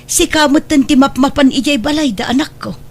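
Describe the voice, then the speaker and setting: A woman's voice: high voice, brisk. Worried, moralizing mother played by an actress. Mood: scolding, pleading. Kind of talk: Ilocano radio drama acting.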